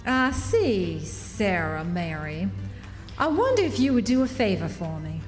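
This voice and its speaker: A woman's voice: medium pitch, very animated, a little slow. Lady doing nosy motherly southern us frontier woman, very swoopy voice, radio drama, hall echo. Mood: suspicious. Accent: American Southern. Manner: folksy, emphatic. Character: shrewd, motherly frontier townswoman, chatty and prying. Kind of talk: live radio drama acting. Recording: echoey hall, music behind.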